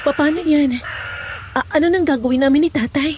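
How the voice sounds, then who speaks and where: A woman's voice: high voice, brisk, a little mellow, a little hiss or hum, close to an everyday voice. A woman playing an anxious, modest young village woman in a horror audio drama, hesitant and worried.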